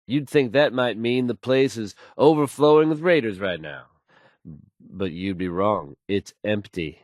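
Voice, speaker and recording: A man's voice: fairly low pitch, animated, a little slow, no echo, studio quality. An actor playing a confident, easygoing radio newsman in a video game, suave and wry, with a polished, folksy delivery. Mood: wry, assured. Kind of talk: smooth scripted radio news delivery, video game voice acting.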